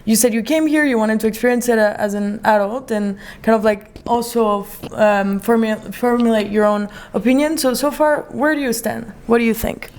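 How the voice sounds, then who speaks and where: A woman's voice: fairly high pitch, normal speed, hardly any pauses, a little mellow, a little hiss or hum. A woman hosting a casual conversation, enthusiastic and rambling, often restarting her sentences. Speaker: podcast host.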